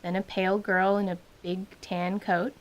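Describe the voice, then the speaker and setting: A woman narrating a tabletop adventure as game master, in an even, wry storytelling manner. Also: medium pitch, moderate pace, muffled recording.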